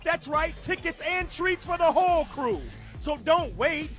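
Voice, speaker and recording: Male promo announcer, extremely high and forceful, over a music bed. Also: lively intonation, a little slow. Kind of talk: promotional ad read.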